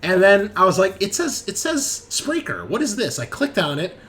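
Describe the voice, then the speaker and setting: A man's voice: high-pitched, wildly swooping pitch, normal speed, fairly bright tone. A man hosting a tabletop gaming show as game master, chatting casually through announcements with joking, salty banter. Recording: noticeable background noise.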